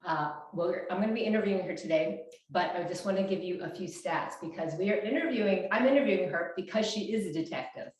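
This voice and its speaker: A woman in her fifties hosts a casual interview in a chatty, loose way, often backing up to restart her sentences. Her voice sits a little low for a woman. The sound is very echoey, picked up by a small clip-on mic in a bare room. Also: fast talker, few pauses, a little mellow. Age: 50s. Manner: chatty, frequent restarts and fillers. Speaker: fitness coach and interview host. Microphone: small clip-on mic shared between speakers.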